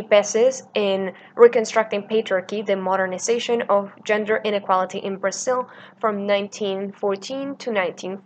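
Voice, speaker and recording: A woman's voice: mid-range voice, a little hiss or hum. A woman in her thirties giving a history lecture from her office, reading notes with fillers and self-corrections. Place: office by a window with city view.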